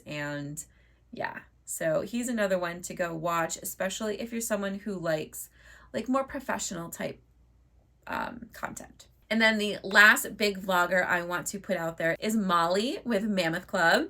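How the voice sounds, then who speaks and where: A woman vlogger chatting casually and upbeat to her viewers, her voice a bit hoarse from losing it. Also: fairly low pitch, sharp tone. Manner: chatty and upbeat, many fillers like "like". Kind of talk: casual chat to camera.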